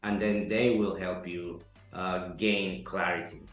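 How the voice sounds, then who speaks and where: A man from Ecuador, giving an earnest, halting testimonial interview full of fillers. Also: deep voice, level tone. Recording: echoey room, soft music behind.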